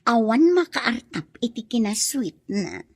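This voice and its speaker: A woman's voice: fairly high pitch, very animated, unhurried, husky, no room sound, clean studio sound, full character voice. A woman playing an earnest, lovestruck young single mother in an Ilocano audio drama, with a plaintive delivery. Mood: earnest, defensive.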